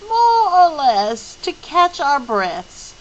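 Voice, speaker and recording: A woman putting on a creaky, cheerful old lady. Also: very animated, takes it slowly, slight background noise, heavily acted, low-quality recording. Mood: reassuring. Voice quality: creaky, rising to squeaks. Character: plump cheerful old female being, creaky voice.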